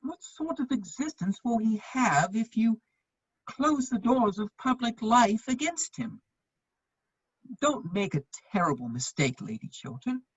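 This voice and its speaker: Persuasive young-hearted dandy, acted by a man, husky, swooping stage delivery, dry and slightly dull recording. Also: sits high, very animated, unhurried, dry recording, heavily acted. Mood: urgent, pleading. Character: witty, loyal, persuasive gentleman bachelor, a son. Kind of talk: theatrical dramatic reading of a period comedy.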